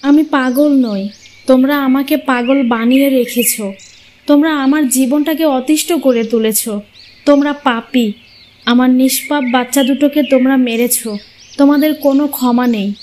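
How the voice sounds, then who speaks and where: A woman's voice: sits high, steady tone, moderate pace, a little mellow, slight background noise, close to an everyday voice. A woman voicing a long-suffering, sorrowful young mother in a cartoon fairy tale, earnest in manner. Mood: bitter, defiant.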